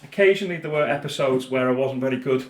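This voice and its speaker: A man's voice: mid-range voice, fast, reverberant. A man with a Liverpool accent, a podcast guest in casual chat, often repeating words as he starts a thought.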